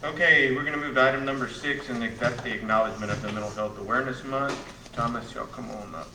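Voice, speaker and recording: A man's voice: medium pitch, quick pace. A man, a county judge chairing a public meeting in a plain, procedural way. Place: county courtroom. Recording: echoey room, distant mic, steady noise.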